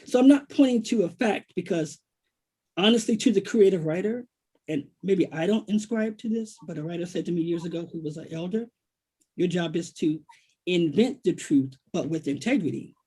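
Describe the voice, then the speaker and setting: An American man, a writer, speaking reflectively and haltingly in a literary conversation, repeating phrases for emphasis. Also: sits high, warm tone, husky. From Washington, D.C., United States. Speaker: poet and writer, guest author.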